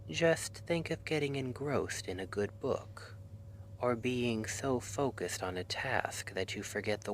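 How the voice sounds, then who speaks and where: Man acting as a gentle male sleep-lab clinician, lowish voice, soft and whispery, reassuring, ASMR roleplay, clear background hum. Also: rough recording. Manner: soft-spoken, slow, soothing, often dropping to a whisper. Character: gentle, reassuring male dream-institute clinician guiding a trial patient.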